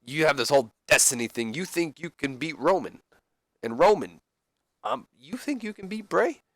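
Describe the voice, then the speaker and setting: Man putting on a high-pitched excitable wrestling emcee. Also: no echo. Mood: placating. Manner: halting, repeating words, placating.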